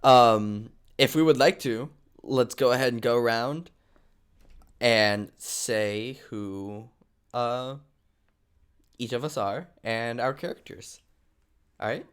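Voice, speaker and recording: Clear-voiced man hosting a casual livestream. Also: medium pitch, takes it slowly, long pauses. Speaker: host of an online play table read. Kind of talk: casual livestream chat, explaining to viewers. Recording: online group call livestream.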